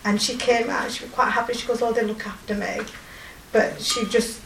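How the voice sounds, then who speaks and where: A woman in her forties with a strong Yorkshire accent, telling of her volunteer work in a halting, emotional way with many pauses and fillers. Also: on the high side, steady tone, reverberant, recorded from a distance, background noise. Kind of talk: personal testimony.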